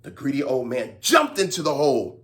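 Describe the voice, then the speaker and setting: This is a storytime host speaking to young children. The delivery is highly animated, with big pitch movement. It moves between expressive storybook narration and instructive chat, often seeking agreement from the listeners. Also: fairly quick.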